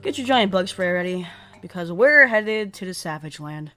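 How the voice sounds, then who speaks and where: A woman playing a wisecracking, quick-witted superhero in a comic dub, with a quippy way of talking. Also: lowish voice, animated, a bit bright. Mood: wry, decisive. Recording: music behind the voice.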